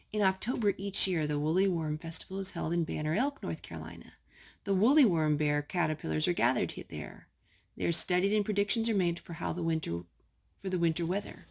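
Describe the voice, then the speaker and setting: A woman with a slightly husky voice reading a children's picture book aloud as a storytime host. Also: on the low side.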